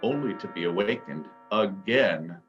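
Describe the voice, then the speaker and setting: Slow, lowish male story narrator. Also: lively intonation, lo-fi sound, soft background music. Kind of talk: scripted dramatic story narration.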